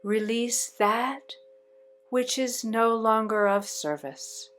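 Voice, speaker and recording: A woman leading a guided meditation in a soothing, deliberate way with long pauses between phrases. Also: on the high side, fairly even intonation, a bit bright.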